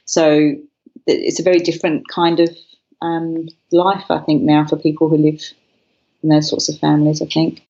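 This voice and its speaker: A woman's voice: sits low, little pitch movement, normal speed, warm tone, lo-fi sound. A woman from Britain, interviewed as a guest, talking about her life in a reflective, candid way. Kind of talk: conversational interview.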